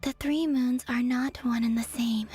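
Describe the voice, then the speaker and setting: An actress playing a weary, melancholy moon goddess in a video game, breathy and whispery, speaking with slow resignation. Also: female voice, on the high side, fairly even intonation, dark tone.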